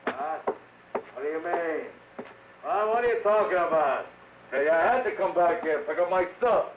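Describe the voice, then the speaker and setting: A man's voice: a little high, lively intonation, moderate pace, natural voice. Man playing a volatile, swooping tough crook. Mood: agitated, cocky. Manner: tough slangy threats. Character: violent swaggering wanted crook, menacing tough guy. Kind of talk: 1950s hardboiled radio drama acting. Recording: tinny, noisy old radio recording.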